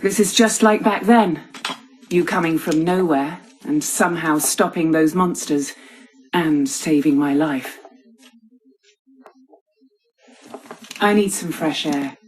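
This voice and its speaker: An actress playing an earnest noble warrior woman in a game cutscene, speaking in a measured, formal way. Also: low voice, darkish tone. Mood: subdued. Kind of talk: video game cutscene acting. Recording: echoey, heavy background noise.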